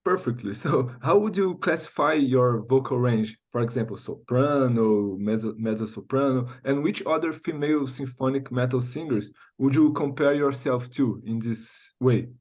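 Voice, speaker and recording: A man interviewing someone, asking questions haltingly as he searches for his words. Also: medium pitch, lo-fi sound.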